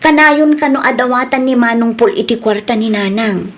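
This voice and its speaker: A woman's voice: fairly high pitch, moderate pace, lightly acted. A woman playing a concerned wife who confides in her husband, in an Ilocano radio drama.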